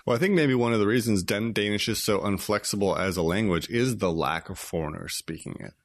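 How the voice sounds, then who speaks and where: Very deep-voiced man, easygoing podcast host, chatty and relaxed. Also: brisk. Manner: easygoing, chatty, laughs often. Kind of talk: casual interview chat.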